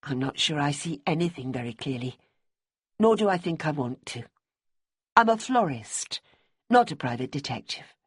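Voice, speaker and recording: A reluctant woman florist, read by a woman narrator holds her ground in a firm, defensive tone. The voice is breathy and dry, recorded up close. Her pitch moves a lot as she pushes back. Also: sing-song, moderate pace, pauses often, no room sound, heavily acted. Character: plain-spoken woman florist, reluctant and exasperated.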